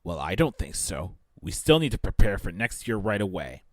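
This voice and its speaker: A man's voice: low-pitched, very animated, normal speed, no echo. A man playing a cold, short-tempered middle-aged fashion-mogul father in a fan audio drama, with a stern, imperious delivery. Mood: curt.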